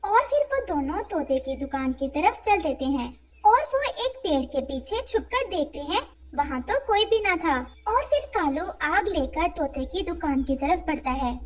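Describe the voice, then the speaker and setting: A woman narrates a children's tale from a script. Her voice is extremely high, and her intonation moves in lively swings. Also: normal speed.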